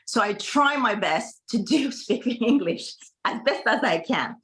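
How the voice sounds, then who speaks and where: A woman doctor whose first language is Farsi, explaining in halting, self-correcting English on an online panel over a video call. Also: on the high side, animated, fairly bright tone. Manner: halting non-native English, frequent restarts.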